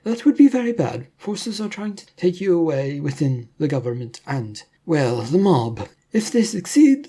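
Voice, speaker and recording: Narrator playing an urgent, higher-pitched government agent. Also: warm tone, slightly rough. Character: thin, tiny, urgent government agent in slick suit.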